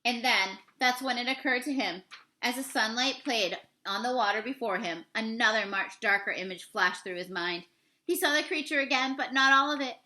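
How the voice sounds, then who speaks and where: A woman reading a novel aloud like a teacher, with dramatic, deliberate storybook narration and the odd stumble over words. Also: on the high side, pushed and bright.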